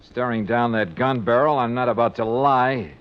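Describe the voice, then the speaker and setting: Male actor as wry American gumshoe, medium pitch, tough-talking 1950s radio delivery, muffled vintage recording. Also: moderate pace, smooth, lightly acted. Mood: exasperated, bargaining. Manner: clipped, sardonic, tough-talking. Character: hard-boiled male insurance investigator, wry and insistent. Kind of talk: 1950s radio detective drama acting and narration. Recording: dull vintage radio recording, music behind.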